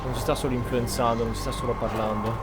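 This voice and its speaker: A man's voice: mid-range voice, level tone, racing, heavy background noise. A man giving casual let's-play commentary, musing aloud as he goes. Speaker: game streamer. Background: game music and sounds.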